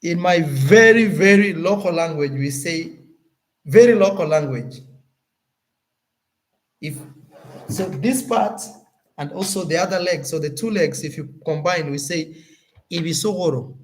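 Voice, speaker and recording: A young Kenyan man with a Kenyan accent, talking casually to his viewers as a YouTuber, animated and often searching for words. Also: fairly high pitch. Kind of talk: casual vlog talk to camera.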